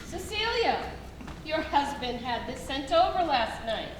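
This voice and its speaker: A woman's voice: high-pitched, very animated, a little slow, background noise. A woman playing a taunting figure from a women's prison in a staged musical reading. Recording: distant echoey hall with music.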